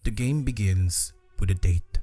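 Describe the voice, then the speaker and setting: A man's voice: lowish voice, measured pace, warm tone, faint music behind. A man reading scripted narration for a video essay.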